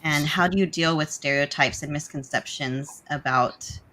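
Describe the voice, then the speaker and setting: A woman's voice: low voice, background noise. A woman whose first language was Tagalog, hosting a panel and jumping in with chatty asides. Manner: chatty, jumps in with quick asides. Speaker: podcast host.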